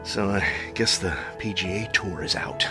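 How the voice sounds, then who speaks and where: An actor playing a sardonic, middle-aged superhero in an audio drama. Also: male voice, deep voice, moderate pace, music in the background. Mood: sarcastic, weary. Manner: sardonic, incredulous. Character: exasperated, sarcastic aging male superhero facing decline.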